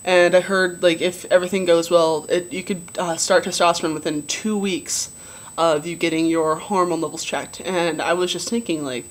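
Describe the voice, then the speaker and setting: A young man with a relatively low voice giving a casual video diary update, rambling with many ums and likes. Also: fairly even intonation, moderate pace. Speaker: vlogger.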